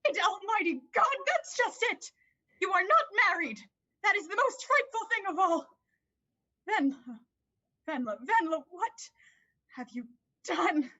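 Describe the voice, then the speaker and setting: High-pitched, anguished mother, played by a woman. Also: sing-song, measured pace, long pauses, bright, forceful tone, dull audio, full character voice. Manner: emotional, imploring, repeats herself. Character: anguished, evasive, pleading mother of a teenage girl. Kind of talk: dramatic reading of a 19th-century play.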